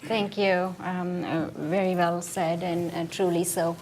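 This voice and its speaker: A woman's voice: fairly low pitch, level tone, measured pace, a little mellow, a little hiss or hum. A woman moderating a panel discussion, thanking speakers and passing the turn with light interjections.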